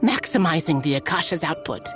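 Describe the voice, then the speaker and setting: A woman narrating a story scene in a video game with even storytelling. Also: deep voice, a little fast, faint music behind.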